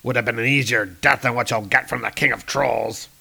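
A man voicing a gruff, menacing male troll king warrior from a comic, with a gravelly voice. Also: medium pitch, steady tone, brisk, bright, forceful tone, exaggerated voice.

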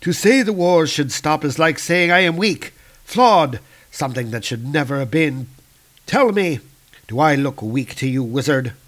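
A man voicing a bitter, cruel dark elf lord who speaks in a raised, menacing voice. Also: mid-range voice, expressive, measured pace, breathy edge. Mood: bitter, raging.

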